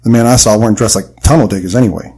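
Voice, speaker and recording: A man's voice: lowish voice, very fast, mellow, breathy edge. An actor playing a wry, cocky young-hearted marksman in an audio adventure drama, casual in delivery. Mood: narrating.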